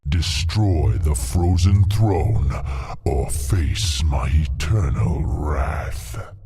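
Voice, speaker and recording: A man voicing a menacing, theatrical demon lord in a fantasy game, with a very husky, rasping voice. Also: very deep voice, drawn-out words, mellow, faint music behind, heavily acted. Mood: wrathful. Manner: ominous, theatrical. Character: menacing demon lord issuing threats and grim warnings. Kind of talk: fantasy game villain acting.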